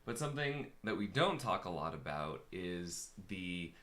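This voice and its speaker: Low-voiced man musing in casual talk. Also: big pitch swings, unhurried, a bit bright. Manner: thoughtful, hesitant with many fillers. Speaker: co-host and coach. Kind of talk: casual conversation.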